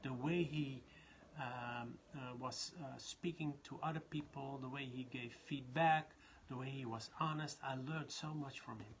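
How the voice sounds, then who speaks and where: A man's voice: mid-range voice, fairly even intonation, a little slow, hardly any pauses, a little hiss or hum. A man from the Netherlands with a Dutch accent, a leadership trainer talking in a loose, rambling way with many restarts. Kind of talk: casual live talk.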